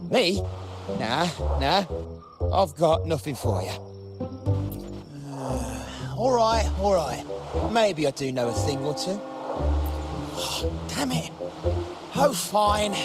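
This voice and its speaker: Greedy petty crook, very animated, dragging pace, grumbling and evasive, video game dialogue. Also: male voice, on the high side, very slow, pauses often, over loud music, full character voice. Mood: hesitant, grudging. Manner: hesitant, grumbling. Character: shifty, greedy male restaurant patron and petty thief.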